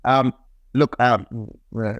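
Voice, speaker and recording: A man from Nepal with a Nepali accent answering interview questions conversationally, in halting phrases full of fillers. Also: mid-range voice, fairly even intonation, no echo, dull audio. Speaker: podcast guest, tech company executive.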